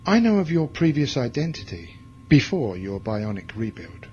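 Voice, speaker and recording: A man's voice: medium pitch, very animated, moderate pace, a little mellow, highs cut off, a little hiss or hum, natural voice. An actor playing a cold, cruel, commanding male space commander in a science fiction audio drama, with contempt in his delivery. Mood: sinister taunting.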